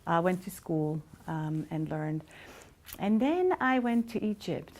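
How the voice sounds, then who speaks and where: Middle-aged woman, interview guest, reflective, deep gravelly tone. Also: expressive, slow, a little mellow. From Switzerland. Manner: reflective, many hesitations. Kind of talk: casual storytelling.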